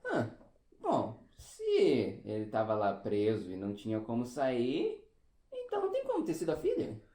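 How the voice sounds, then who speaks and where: A woman plays a curious, hesitant amateur detective in a comic audio drama, recorded in a roomy space. The voice is low and mellow, with hugely exaggerated, questioning swoops. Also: huge pitch swings, moderate pace, full character voice. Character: curious, hesitant amateur sleuth, eager to guess. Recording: roomy, echoey sound.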